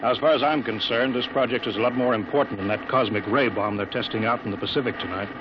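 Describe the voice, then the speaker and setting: An actor playing a serious, cautious American rocket project chief in a 1950s radio drama. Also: male voice, medium pitch, fast talker, natural voice. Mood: firm, insistent. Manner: serious, insistent, businesslike. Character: earnest, worried male rocket project chief, firm and cautious. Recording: muffled, noisy vintage radio recording.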